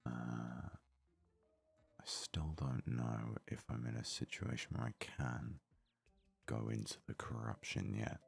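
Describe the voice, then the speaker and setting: Super low husky guy doing asmr gaming commentary, slow and rambly, right up on the mic. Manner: hesitant, rambling, thinking aloud. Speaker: gaming video host. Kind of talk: whispery soft ASMR let's play commentary. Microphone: close, highly sensitive microphone.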